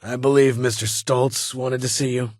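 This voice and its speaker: An actor playing a weary, formal middle-aged military security chief in a video game, speaking in a restrained, dutiful way. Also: male voice, mid-range voice, flat delivery, normal speed, darkish tone, dry recording, studio quality, lightly acted. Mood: stern. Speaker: vault chief of security, former army science advisor. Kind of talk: video game character dialogue.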